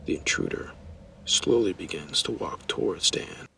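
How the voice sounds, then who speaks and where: A man's voice: deep voice, animated, measured pace, vocal fry, sharp tone, distant mic, a little hiss or hum. A man reading a scripted horror story as its narrator.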